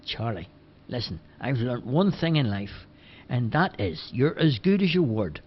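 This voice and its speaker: A man's voice: mid-range voice, big pitch swings, measured pace, slightly rough. A man voicing a blunt, sensible grown man who lectures in a matter-of-fact way. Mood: lecturing. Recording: dull lo-fi recording.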